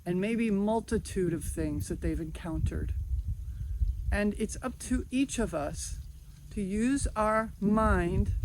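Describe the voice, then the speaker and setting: A middle-aged woman from New York giving an earnest, encouraging inspirational talk outdoors. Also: medium pitch. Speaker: video host. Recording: outdoor noise in background.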